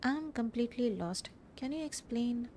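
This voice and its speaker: A woman's voice: on the high side, expressive, normal speed, darkish tone, slight background noise. A woman from India with an Indian accent, chatting casually as she live-streams a video game. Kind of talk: casual live game commentary, reading dialogue choices aloud.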